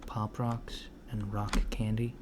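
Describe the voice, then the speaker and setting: A man acts out a polite alien note-taker studying humans in an ASMR roleplay. The softspoken voice sits a little low and moves slowly, with hesitant, puzzled, clinical questioning. Keyboard typing and clear noise run behind it. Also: level tone. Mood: curious, musing. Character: curious, mild-mannered alien interviewer taking notes on humans. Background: computer keyboard typing.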